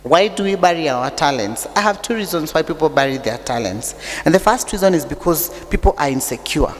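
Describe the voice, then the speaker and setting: A Kenyan woman with an unusually deep voice, preaching a sermon that mixes English and Swahili, telling stories with humor. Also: lively intonation, brisk. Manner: chatty storytelling with humor. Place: church. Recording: long church reverb.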